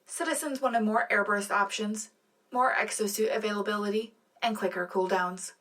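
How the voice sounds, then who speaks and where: A woman's voice: medium pitch, steady tone, normal speed, a bit bright, natural voice. A woman playing a polished, upbeat propaganda radio co-anchor, delivering scripted satirical news with tongue-in-cheek official euphemism. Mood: prim, upbeat. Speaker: radio co-host.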